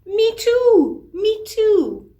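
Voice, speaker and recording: A woman's voice: extremely high pitch, sing-song, drawn-out words, dark tone, echoey room, pitched above the performer's own voice, full character voice. A woman voicing an eager, wonder-struck unicorn in a children's story, exclaiming with wide-eyed delight. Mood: longing. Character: eager, wonder-struck young unicorn who longs to fly.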